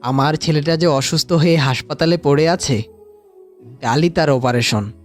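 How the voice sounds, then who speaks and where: A man's voice: fairly high pitch, normal speed, faint music behind, natural voice. A man voicing a polite, earnest, worried father in a cartoon. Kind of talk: animated cartoon voice acting.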